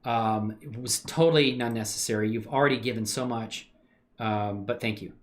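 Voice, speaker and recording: A man's voice: medium pitch, normal speed. A middle-aged man chatting informally and enthusiastically as he hosts a casual livestream demonstration. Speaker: livestream host and hobby animator.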